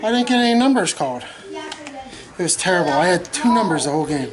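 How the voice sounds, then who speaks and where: A woman's voice: on the low side, big pitch swings, fast. A woman chatting casually in a blunt, plain-spoken way. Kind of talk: casual chat. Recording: chatter of people in the room.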